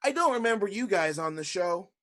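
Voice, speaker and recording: A woman's voice: mid-range voice, lively intonation, brisk, a bit bright, natural voice. A woman playing an earnest, bewildered child turned puppet in a play reading. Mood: confused, timid.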